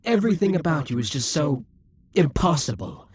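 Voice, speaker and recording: A man's voice: fairly high pitch, big pitch swings, moderate pace, darkish tone, slightly rough, no room sound. A man voicing a possessive, scheming male demon king in a theatrical monologue for an audio roleplay. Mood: brooding. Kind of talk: audio roleplay addressing the listener.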